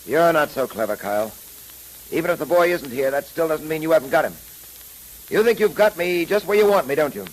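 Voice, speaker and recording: An actor playing a resolute, law-abiding detective in earnest 1930s radio drama style, on a hissy old recording. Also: male voice, a little high, lively intonation, lightly acted, poor audio. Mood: stern. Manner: firm, earnest, deliberate.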